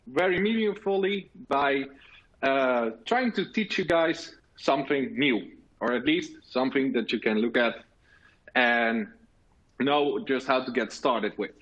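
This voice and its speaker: A man's voice: mid-range voice, measured pace, fairly bright tone, lo-fi sound. A man with a Dutch accent, a native Dutch speaker, presenting earnestly at a virtual conference. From Netherlands. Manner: earnest, many filler sounds and restarts.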